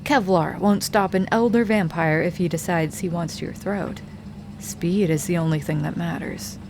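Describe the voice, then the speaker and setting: A woman playing a cool, low-voiced vampire hunter. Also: normal speed, noisy background, natural voice. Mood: cool briefing. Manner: low, commanding, teasing, often sinking to a hush. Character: cool, hardened female vampire hunter mentoring a rookie. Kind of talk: ASMR audio roleplay. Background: faint ambient soundscape.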